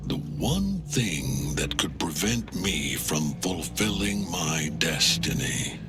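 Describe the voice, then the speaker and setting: An older-sounding man playing a weary, menacing undead general in a video game, grave and portentous. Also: low-pitched. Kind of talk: video game villain acting. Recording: music behind the voice.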